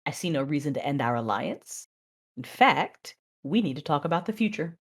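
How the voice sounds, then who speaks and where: A woman acting as a controlled, proud Sith mother, narrating and speaking in a measured, intense way in an audio drama. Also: low-pitched, very animated, breathy edge, full character voice. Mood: intimate, resolved. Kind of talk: first-person dramatic narration and dialogue.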